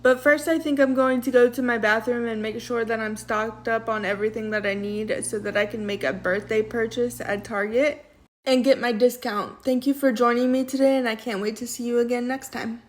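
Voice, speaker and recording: A woman vlogger narrating her day in a measured, articulate way with somewhat formal phrasing. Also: a little high, fairly even intonation. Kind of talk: day-in-the-life vlog narration.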